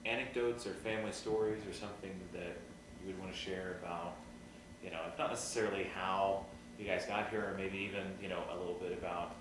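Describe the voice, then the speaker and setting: A man with a very low voice asks his questions hesitantly, with plenty of "um" and "you know". He is recorded in a strongly echoing room with clear background noise. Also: steady tone, a little fast. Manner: hesitant, full of fillers. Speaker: interviewer. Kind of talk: casual interview questions. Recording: very echoey, noisy room.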